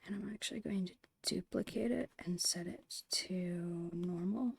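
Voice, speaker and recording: A woman whispering in a breathy, creaky voice, murmuring her way step by step through an ASMR art narration. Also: fairly low pitch. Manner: unhurried, murmured step-by-step musing. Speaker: host of an art ASMR channel.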